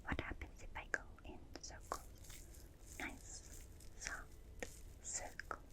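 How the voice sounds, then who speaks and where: A woman voicing a gentle, curious alien examiner in a breathy whisper, slow and soothing, in an ASMR roleplay. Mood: curious, fascinated. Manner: slow, soothing, softly clinical, repeats phrases. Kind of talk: ASMR roleplay, personal attention.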